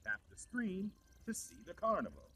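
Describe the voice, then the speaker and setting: A man reading a scripted children's story in an animated, instructive way. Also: sits high, moderate pace, husky. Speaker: storybook narrator.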